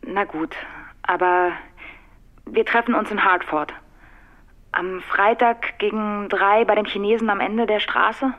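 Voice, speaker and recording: A woman's voice: medium pitch, steady tone, measured pace. An actress playing a friendly but evasive woman on the telephone in a German radio play, through a phone filter. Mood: guarded. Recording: dull, band-limited telephone sound.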